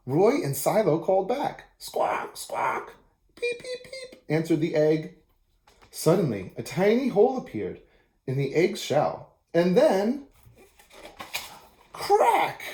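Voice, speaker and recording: A man reading a children's picture book aloud as an expressive storyteller, in an echoey room. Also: on the high side.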